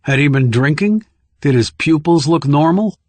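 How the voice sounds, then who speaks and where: A man's voice: fairly high pitch, animated, moderate pace, dry recording. A man voicing a blunt, skeptical government operative with wry, probing delivery in an audiobook. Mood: stunned, wry. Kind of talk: audiobook character dialogue.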